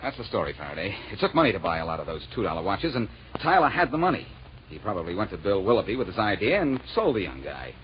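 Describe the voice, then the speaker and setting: A quick-witted, self-assured sleuth in a vintage radio mystery. He talks fast and his pitch swings freely, over a muffled old recording. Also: sing-song, loud music behind the voice. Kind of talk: old-time radio detective drama acting.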